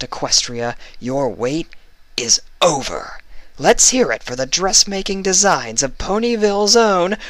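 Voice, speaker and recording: A man voicing a smooth, pompous pony announcer at a fashion show in a story, speaking theatrically with dramatic pauses. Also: a little high, fairly bright tone, no room sound, pitched above the performer's own voice, full character voice.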